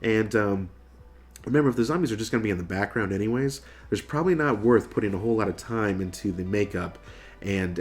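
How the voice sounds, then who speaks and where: An American man in his thirties, nasal from getting over a cold, casually explaining things to camera as a video host. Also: on the low side. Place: furnished room indoors.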